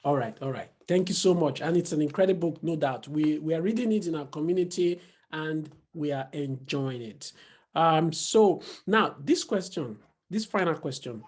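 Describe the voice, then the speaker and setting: A woman's voice: low-pitched, expressive, normal speed, darkish tone, slightly rough. A woman hosting an online investment club interview, earnest and encouraging. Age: 40s. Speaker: host.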